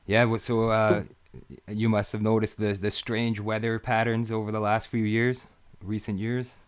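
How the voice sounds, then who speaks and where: A man's voice: fairly low pitch, level tone, normal speed, clear, resonant tone. A Canadian man from Northern Ontario hosting a live interview show, agreeable and full of fillers, often saying "for sure". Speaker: host.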